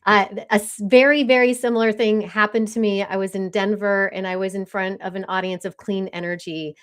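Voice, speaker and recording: A woman's voice: medium pitch, steady tone, fairly quick, smooth. Informal woman hosting a casual interview. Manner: enthusiastic, informal. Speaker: podcast host, speaker.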